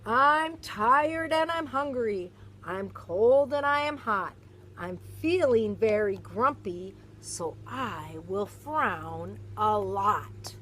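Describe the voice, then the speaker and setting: A woman's voice: medium pitch, expressive, takes it slowly, a bit bright, a little hiss or hum. Grumpy whiny pirate man, complaining, storybook read-aloud, put on by a woman. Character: grumpy grumbling male pirate captain.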